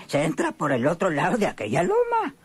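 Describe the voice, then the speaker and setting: Un actor que interpreta a un viejo campesino mexicano, pobre y evasivo, que habla titubeando en una radionovela. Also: male voice, sits high, wildly swooping pitch, slightly rough, heavily acted. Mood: mocking. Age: older. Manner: halting, stammering, rustic. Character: frail, poor, drowsy old male peasant, evasive, mocking. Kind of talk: Mexican radio drama acting.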